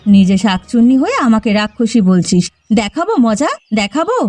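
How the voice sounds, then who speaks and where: A woman's voice: a little high, very animated, normal speed, darkish tone, no echo. A woman voicing a bitter, defiant village neighbour in a cartoon, sneering and threatening as she argues. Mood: scornful, threatening. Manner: sneering, combative. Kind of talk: animated cartoon dialogue. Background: background music.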